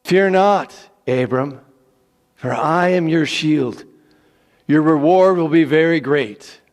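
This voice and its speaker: A man's voice: fairly high pitch, slow pace, long reverb tail, pitched above the performer's own voice. A man voicing God in a dramatic scripture reading, solemn, authoritative and emphatic in his declarations. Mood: proclaiming. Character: God, solemn authoritative male giving promises and commands.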